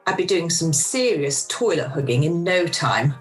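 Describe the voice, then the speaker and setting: A woman's voice: low voice, animated, normal speed, faint music behind, poor audio. A woman playing a wry, self-deprecating, frazzled organiser in an amateur radio play. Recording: home recording over video call.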